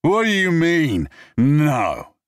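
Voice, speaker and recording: A man playing a loud, sloppy drunk. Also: medium pitch, sing-song, slow, no room sound, studio quality, voice pushed up, heavily acted. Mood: belligerent. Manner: slurred, loud, cocky taunting. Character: drunk, belligerent man, swaying and shouting.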